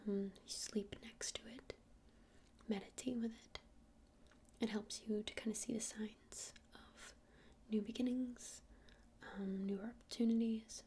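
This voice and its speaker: A woman playing a gentle witch shopkeeper in an ASMR roleplay, speaking in a breathy whisper and explaining things in an unhurried, hesitant, soothing way. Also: a little high, steady tone, a little hiss or hum, natural voice. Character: gentle witch shopkeeper showing crystals to a customer.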